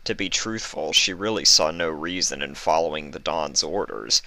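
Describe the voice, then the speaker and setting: A man's voice: rumbling low, moderate pace, sharp tone, no room sound. A man narrating a written fan story as its reader.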